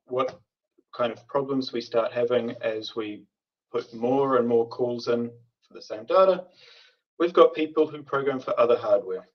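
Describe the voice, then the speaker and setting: A man giving a prepared tech conference talk in a measured way, with frequent filler sounds. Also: medium pitch. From New Zealand. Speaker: conference speaker, software architect. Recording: distant, dull remote call audio.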